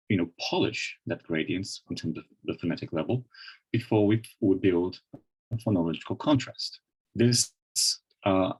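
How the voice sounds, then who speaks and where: Low husky man with Brazilian accent. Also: rough recording. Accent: Brazilian Portuguese. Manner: thoughtful, many restarts and fillers. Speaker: webinar presenter. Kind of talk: online lecture on pronunciation.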